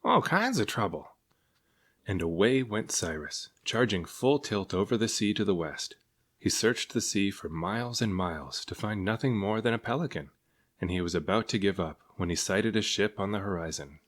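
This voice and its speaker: A man's voice: fairly low pitch, moderate pace. A man reading a children's storybook aloud as narrator. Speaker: storybook reader.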